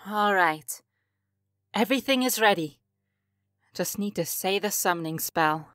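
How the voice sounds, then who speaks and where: A woman playing an earnest, formal high elf summoner in a fantasy audio drama, careful in her words. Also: expressive, measured pace, fairly bright tone, dry recording, studio quality. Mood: focused.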